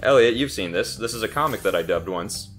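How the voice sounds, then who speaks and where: A man's voice: fairly low pitch, lively intonation, brisk, fairly bright tone. A man joking casually as co-host of a gameplay video. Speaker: let's play co-host. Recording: music under the voice. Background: game music.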